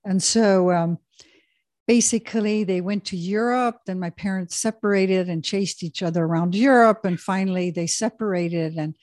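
A woman's voice: medium pitch, normal speed, darkish tone, dry recording. Swiss-raised woman coach, dry sound. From Switzerland. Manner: hesitant, many fillers and restarts. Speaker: guest, executive coach. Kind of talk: casual interview talk.

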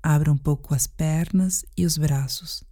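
A Brazilian woman narrating a guided sleep meditation in a calm, gentle, deliberate manner. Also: deep voice.

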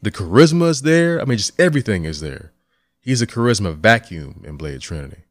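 Casting: male podcast co-host, low voice, dry close sound, animated. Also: brisk, no echo. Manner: animated, reads news aloud. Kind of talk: casual podcast chat.

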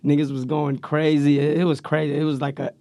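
Man, raspy, gravelly, laid-back, slangy, filler-heavy, dry room. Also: on the high side, little pitch movement, brisk, mellow, no echo. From Central Valley, California. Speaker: rapper guest. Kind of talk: casual interview chat.

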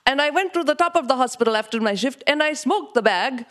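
A woman playing an earnest Indian dad telling an anecdote on stage. The accent is Indian and the delivery is emphatic and deadpan, with the pitch climbing high. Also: quick pace. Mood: earnest, animated. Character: earnest Indian immigrant father recounting smoking pot.

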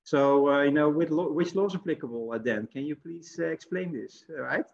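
A man's voice: fairly high pitch, fairly quick, vocal fry. A man hosting an interview over a video call, hesitant, with many fillers and restarts. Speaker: interview host. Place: home in the Netherlands. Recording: dull lo-fi call audio.

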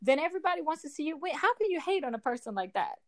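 A woman from the Netherlands, a native Dutch speaker whose English comes out sounding American, sits in as a guest on a podcast. She talks in an animated, emphatic way. She often doubles back on her words while working toward a point. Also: sits high, very fast. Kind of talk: casual conversation.

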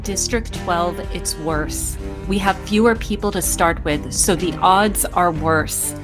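A woman's voice: fairly low pitch, takes it slowly. Woman playing a downbeat teenage girl over music. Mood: grim, resigned. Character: teenage girl from a poor district, fatalistic, caring. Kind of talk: audio drama dialogue.

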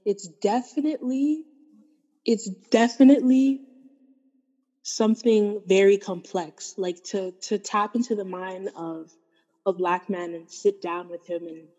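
A woman's voice: medium pitch, a little slow, dark tone, in a large hall. A woman from Canada talking in a casual discussion, earnest and thoughtful, with many fillers and restarts. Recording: dull, hollow-sounding recording.

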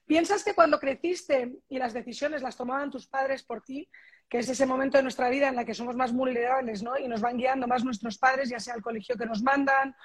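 A woman's voice: high-pitched, fairly even intonation, fairly quick, breathy edge. A woman hosting a podcast, asking long winding interview questions. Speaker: podcast host.

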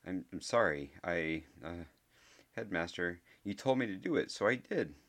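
A man's voice: deep voice, expressive, moderate pace, fairly bright tone. A man voicing a nervous, clever schoolboy who stammers and hesitates.

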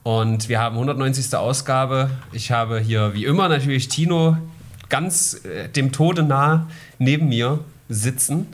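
A man's voice: medium pitch, measured pace. A man hosting a casual podcast, chatting with a joking, ironic tone. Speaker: podcast host.

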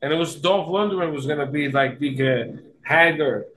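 Enthusiastic, slightly higher-pitched man chatting casually. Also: moderate pace, fairly bright tone, low-quality recording. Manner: enthusiastic, slangy fan talk. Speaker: podcast guest. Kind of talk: casual chat.

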